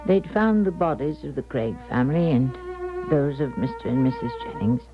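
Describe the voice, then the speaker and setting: An actress playing a grave, haunted older female clairvoyant, narrating a 1970s radio drama in a measured, solemn way. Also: a bass voice, lively intonation. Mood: grave, resigned. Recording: dull 1970s radio broadcast, music behind.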